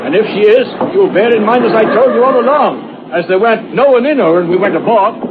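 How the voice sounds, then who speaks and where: A man's voice: high-pitched, brisk, close to an everyday voice. An actor playing a wary seafaring boatman in an old radio drama. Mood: excited, defensive. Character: agitated, wary seafaring boatman guiding a gentleman. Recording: tinny, noisy old radio recording.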